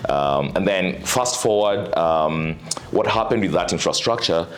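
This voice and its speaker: A man in his thirties, an investor chatting casually as an interview guest, relaxed and full of fillers. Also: sits low, a little slow. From Kenya. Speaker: venture capital investor, guest.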